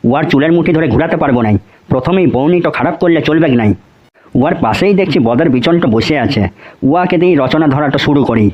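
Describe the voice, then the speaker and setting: A man plays an irritable village schoolmaster in a comedy cartoon, scolding and threatening. His voice sits fairly high for a male, and the audio is a bit dull and lo-fi. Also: close to an everyday voice.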